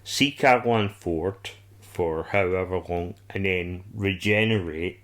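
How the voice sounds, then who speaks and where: A man's voice: low-pitched, a little slow, a bit bright. A man co-hosting a podcast in casual fan discussion, rambling and enthusiastic, with some swearing. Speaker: podcast co-host.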